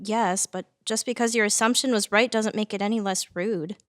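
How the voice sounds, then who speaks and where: A woman's voice: medium pitch, steady tone, moderate pace, dry recording, studio quality, natural voice. A woman playing a sassy, mild-mouthed evidence officer in a dramatic table read, teasing and unruffled.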